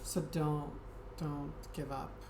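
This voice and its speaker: Woman, low voice, soft-spoken livestream chat, hesitant with ums, roomy echo, noisy background. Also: takes it slowly. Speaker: Twitch streamer. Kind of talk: casual chat with viewers. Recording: echoey room with steady background noise.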